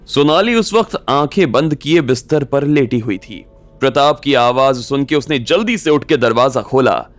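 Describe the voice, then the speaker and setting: Medium-pitched male story narrator. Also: lively intonation, normal speed, no room sound. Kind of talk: scripted audio story narration. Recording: music bed behind the voice.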